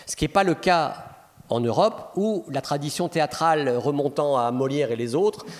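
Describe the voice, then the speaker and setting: Belgian guy, fairly high voice for a man, chatty and rambly, lots of restarts, long hall echo. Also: normal speed. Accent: Belgian French. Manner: chatty, frequent restarts and "voilà". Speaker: guest speaker, humorist. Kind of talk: relaxed anecdotal talk answering questions. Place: lecture hall with audience.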